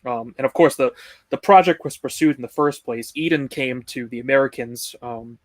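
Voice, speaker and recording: A male guest writer explaining history in a measured way, with some fillers. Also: mid-range voice, no room sound. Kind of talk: casual live-stream discussion.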